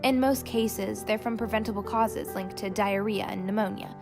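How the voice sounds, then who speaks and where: A woman reading a scripted documentary narration in a steady, informative way. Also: mid-range voice, normal speed, vocal fry. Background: music.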